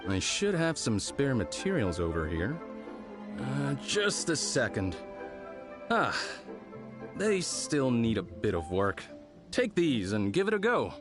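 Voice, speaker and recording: An actor playing a friendly, patient, easygoing craftsman in a video game, encouraging, with thoughtful pauses. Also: medium pitch, wildly swooping pitch. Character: friendly, patient male festival lantern craftsman. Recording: music behind the voice.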